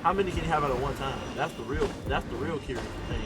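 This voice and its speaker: Slangy man streaming over loud game music. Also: medium pitch, fast. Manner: slangy, repeats phrases, excitable outbursts. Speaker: gaming streamer. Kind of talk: casual gameplay commentary.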